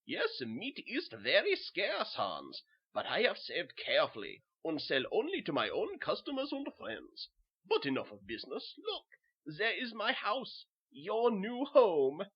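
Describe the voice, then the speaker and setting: A man's voice: high voice, wildly swooping pitch, unhurried, breathy edge, lo-fi sound, pitched above the performer's own voice, full character voice. A man playing a hearty, coaxing German butcher with a German accent in a horror story. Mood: genial. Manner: genial, coaxing.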